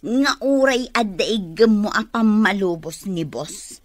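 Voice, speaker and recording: A woman's voice: mid-range voice, lively intonation, a little slow, no echo, close to an everyday voice. An actress with an Ilocano accent playing a greedy, demanding woman who schemes for money in a radio drama. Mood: demanding, annoyed. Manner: demanding, conniving.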